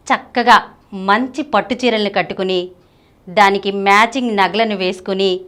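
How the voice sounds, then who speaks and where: A woman's voice: medium pitch, fairly bright tone. A woman presenting scripted narration for a women's fashion video, addressing viewers directly. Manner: addresses viewers with rhetorical questions. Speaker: channel presenter. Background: background music.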